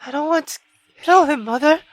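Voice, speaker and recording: A man's voice: very high voice, fairly quick, pitched above the performer's own voice, rough recording. A man voicing a frightened young woman who pleads emotionally with her mother, her delivery tremulous, in a visual novel reading. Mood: pleading.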